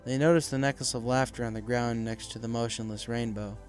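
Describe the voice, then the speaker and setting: A male narrator with a medium-pitched voice reads a dramatic story from a script. Music plays clearly behind the narration throughout. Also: steady tone, fairly quick. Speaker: narrator, fan fiction reader.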